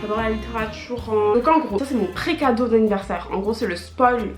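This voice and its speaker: A bubbly teenage girl chatting through a gift haul in a fairly high voice, full of slang and sudden squeals of excitement. Her voice bounces around a roomy bedroom, with soft music behind her. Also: a little fast. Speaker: vlogger. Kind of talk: casual haul vlog. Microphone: camera mic.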